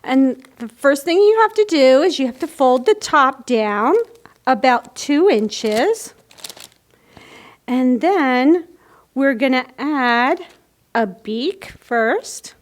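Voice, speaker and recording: A woman's voice: high-pitched, lively intonation, takes it slowly. A woman hosting a children's storytime, speaking simply and carefully as she reads and explains. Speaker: library storytime host. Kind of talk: children's picture-book reading and craft instruction.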